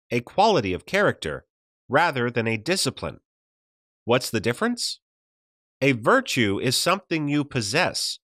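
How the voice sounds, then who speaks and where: A man reading a religious study audiobook as a scripted narration, measured and enunciated, with deliberate pauses. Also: medium pitch, a bit bright, no echo, clean studio sound.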